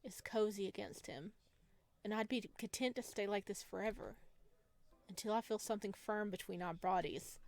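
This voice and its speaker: A woman's voice: mid-range voice, normal speed, a little mellow, dry recording. A woman hosting a visual novel playthrough, reading the story and commenting casually. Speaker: game playthrough host. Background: game music.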